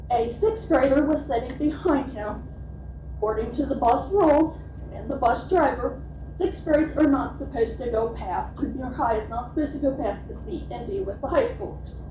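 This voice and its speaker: A woman's voice: sits high, dark tone, slight background noise. A woman in her thirties or forties, a parent speaking earnestly at a school board's public comment. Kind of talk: prepared public statement at a meeting. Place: school board meeting room. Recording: distant, echoey, dull meeting-room sound.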